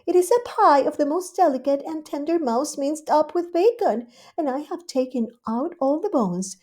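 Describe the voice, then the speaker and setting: A woman's voice: sits high, mellow, pitched above the performer's own voice. A woman voicing a prim, house-proud female cat who chatters to herself about her guest. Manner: prim, chatty, faintly snobbish. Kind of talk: storybook character voice.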